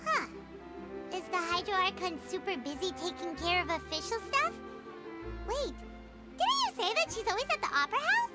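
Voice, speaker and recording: A woman's voice: extremely high pitch, sing-song, normal speed. A woman voicing a tiny, chatty, curious fairy companion who talks about herself in the third person, with game music underneath.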